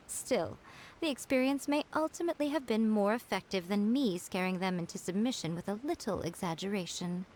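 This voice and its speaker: A woman's voice: mid-range voice, animated, moderate pace, darkish tone, natural voice. A woman voicing a haughty, teasing female fox-spirit shrine maiden in a video game, poised and knowing. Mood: smug, unhurried.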